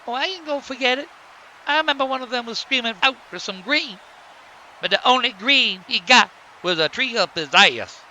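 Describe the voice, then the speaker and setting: Man doing a high, brash grizzly bear wrestler. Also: animated, moderate pace, pushed and bright, slight background noise, full character voice, low-quality recording. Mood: smug. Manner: taunting, swaggering. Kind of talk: cartoon wrestling trash talk.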